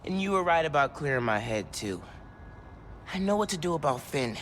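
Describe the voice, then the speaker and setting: Lively, high-voiced teenage superhero. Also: male voice, normal speed, a little hiss or hum. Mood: sincere. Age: young adult. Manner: quippy, earnest. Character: earnest seventeen-year-old male superhero, quippy and stressed. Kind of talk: video game cutscene acting.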